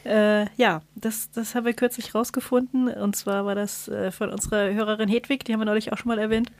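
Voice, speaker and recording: A woman with a medium-pitched voice talks as a podcast co-host. She explains things casually, as if chatting across the table with her partner. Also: level tone, brisk.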